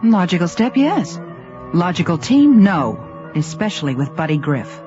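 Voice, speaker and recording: An actress playing a brisk, bitter female research director on a space station in a science fiction radio drama. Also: fairly low pitch, big pitch swings, mellow. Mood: dismissive, bitter. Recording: muffled radio drama recording over music.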